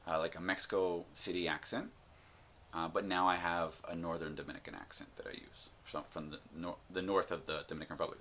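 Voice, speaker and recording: A man's voice: sits low, a bit bright. A man hosting a language-learning channel, talking casually to the camera and rambling with many fillers and restarts. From United States.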